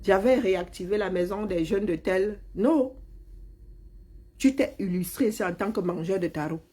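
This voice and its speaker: A woman's voice: mid-range voice, moderate pace, warm tone, husky. A middle-aged woman, mockingly scolding a politician in a heated live-stream rant. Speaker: commentator replying to a politician.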